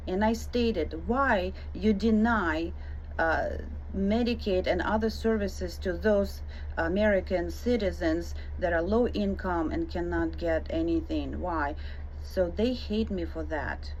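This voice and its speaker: A woman's voice: fairly low pitch, slow pace, a little mellow. A middle-aged woman from Ukraine with a Ukrainian accent, speaking emphatically and indignantly straight to the viewer in a rebuttal vlog. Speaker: vlogger answering a comment. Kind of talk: direct-to-camera rebuttal. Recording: dull lo-fi audio with faint noise.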